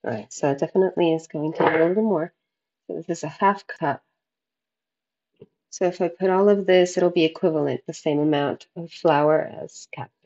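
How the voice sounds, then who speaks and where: A woman's voice: sits low, a little fast, dark tone, muffled recording. A woman in her thirties casually explaining a recipe in an informal way, recorded in a home kitchen. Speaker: home cooking vlogger.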